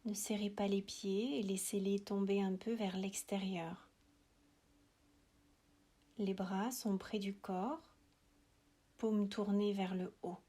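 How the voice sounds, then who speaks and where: A woman guiding a relaxation meditation in a soothing, hushed voice, with short phrases, long pauses and breathy, whispered endings. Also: mid-range voice, level tone. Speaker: yoga nidra relaxation guide.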